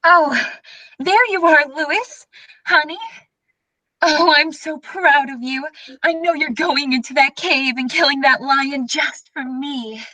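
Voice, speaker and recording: A woman's voice: high voice, takes it slowly, bright, forceful tone, no room sound, heavily acted, poor audio. A woman playing a gushing, adoring sweetheart in a comedy radio play. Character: adoring, gushing sweetheart of a bumbling lion hunter.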